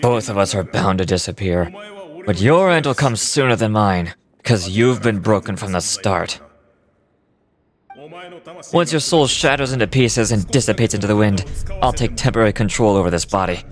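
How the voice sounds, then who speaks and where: An actor voicing a scornful, menacing warrior spirit who belittles a weaker host in a scripted video game. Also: male voice, fairly low pitch, lively intonation, normal speed, lightly acted. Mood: cold menace. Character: cold, scornful warrior spirit possessing a frail body.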